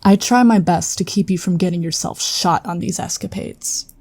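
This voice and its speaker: A woman's voice: fairly low pitch, moderate pace, vocal fry, a little mellow, no echo. An actress playing a loyal female guardian who speaks in a dry, teasing but supportive way. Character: loyal wry female guardian, best friend. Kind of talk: audio drama acting.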